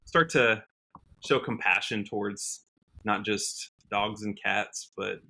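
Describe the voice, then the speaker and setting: An American man chatting casually as an interview guest, earnest and halting, often rephrasing as he goes. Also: on the low side, fairly even intonation, gravelly, sharp tone. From Washington state, USA. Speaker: podcast guest, country singer.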